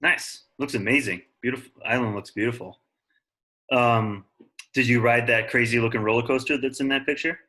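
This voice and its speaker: A man's voice: mid-range voice, steady tone, normal speed, bright, forceful tone. A man teaching an online class, talking casually and encouragingly to his students. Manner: encouraging, informal. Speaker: science teacher.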